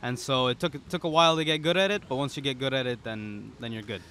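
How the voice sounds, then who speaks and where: A man's voice: mid-range voice, quick pace, sharp tone. Young man explaining casually, faint outdoor noise. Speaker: interview guest, startup founder. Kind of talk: conversational interview, explanatory. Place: outdoor pop-up podcast booth at a conference. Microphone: boom-arm podcast microphone. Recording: outdoor event ambience behind voice. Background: outdoor conference ambience.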